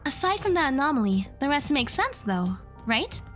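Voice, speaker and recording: A woman's voice: sits high, big pitch swings, normal speed, soft background music. A woman playing an earnest young woman in an audio drama, arguing insistently and reasoning step by step. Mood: puzzling it out.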